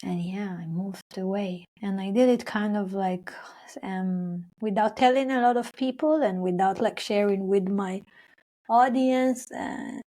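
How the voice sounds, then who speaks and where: Woman, young adult, Hebrew speaker using English, slow, mellow, rambling interview story. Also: medium pitch. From Israel-Palestine. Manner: rambling, many fillers and restarts, acts out her own past words. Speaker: singer.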